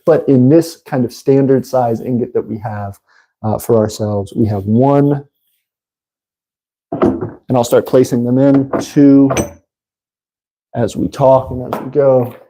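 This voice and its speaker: A man with a congested voice, hosting a casual livestream and talking haltingly with many fillers. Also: medium pitch, steady tone, mellow, low-quality recording. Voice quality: congested, nasal. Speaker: livestream host. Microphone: phone.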